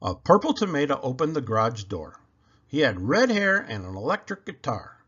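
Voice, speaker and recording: A man reading a children's book aloud with expressive, emphatic delivery. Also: medium pitch, normal speed, slightly rough, highs cut off. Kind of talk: animated storybook read-aloud narration.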